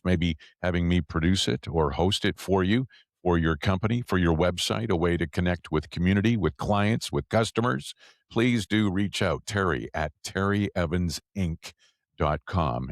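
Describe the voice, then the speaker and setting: Male radio and podcast host, very low voice, close dry sound, for intros, sponsor reads and casual interviews. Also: dry recording.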